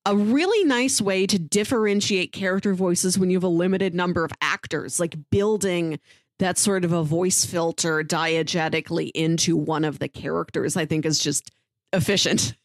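A woman's voice: fairly low pitch, moderate pace, dry recording, studio quality. A woman talking casually and thoughtfully as a podcast co-host, reviewing a story in conversation.

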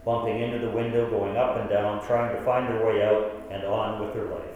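A man's voice: on the low side, little pitch movement, fairly quick, darkish tone, highs cut off, lightly acted. A man acting a wistful storyteller in an echoing hall. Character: gentle man named Paul, wistfully remembering a dying woman. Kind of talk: narrated radio play. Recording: echoey hall, distant, music underneath.

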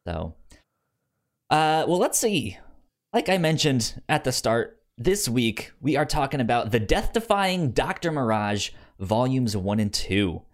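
Male podcast co-host, highly animated intonation, casual discussion style, halting delivery with frequent restarts. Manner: halting, repeats words and restarts often.

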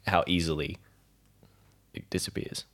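A man's voice: low voice, steady tone, measured pace, a bit bright. A man improvising in-character chat and narration for a role-playing podcast, with music behind him. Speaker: player in an actual-play role-playing podcast.

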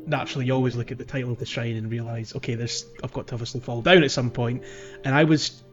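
A man's voice: mid-range voice, steady tone, fast, highs cut off, soft background music. A man hosting a casual gaming podcast, talking in a hesitant, self-deprecating way. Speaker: podcast host.

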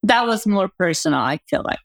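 A woman's voice: medium pitch, lively intonation, brisk, gravelly. Woman telling her story. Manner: reflective, leans on "like" and "right?". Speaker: guest entrepreneur. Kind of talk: conversational interview storytelling.

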